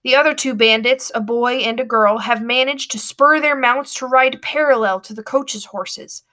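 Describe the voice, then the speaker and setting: A woman reading a storybook aloud with expressive, dramatic narration. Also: fairly high pitch, moderate pace.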